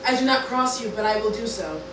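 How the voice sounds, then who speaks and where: A woman's voice: fairly high pitch, lively intonation, fast talker, fairly bright tone. A woman playing a defiant hive-mind army leader in an amateur Shakespeare parody radio play. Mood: firm. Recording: roomy, slightly distant, noisy room recording.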